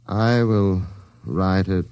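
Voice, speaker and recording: An actor playing a mysterious, commanding, secretive client with precise, stilted diction in 1950s radio drama style. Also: male voice, low-pitched, fairly even intonation, drawn-out words, darkish tone, clear, resonant tone, dry recording, exaggerated voice.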